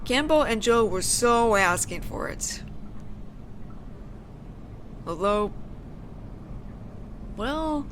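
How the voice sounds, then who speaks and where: A woman playing a teenage delinquent girl in an intimate audio roleplay, casual and teasing with a softly caring side and thoughtful pauses. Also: on the high side, noisy background. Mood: gently probing. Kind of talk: slow intimate audio roleplay addressed to the listener.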